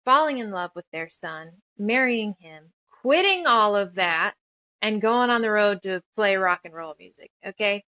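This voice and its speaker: A woman's voice: mid-range voice, animated, normal speed, no echo, highs cut off. A woman in her thirties telling her story casually as an interview guest, rambling and emphatic, piling up lists as she goes. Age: 30s. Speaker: guest author and musician.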